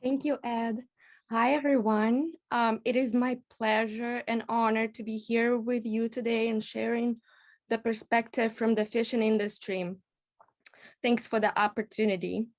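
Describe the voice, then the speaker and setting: A woman from Kazakhstan giving a measured presentation at a public panel, with frequent "um" pauses. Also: on the high side, level tone. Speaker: executive director, invited speaker.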